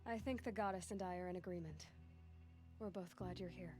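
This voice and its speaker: An actress playing a determined young huntress, dry and wry, in video game dialogue. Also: female voice, on the low side, fairly quick, a little mellow, faint music behind, natural voice.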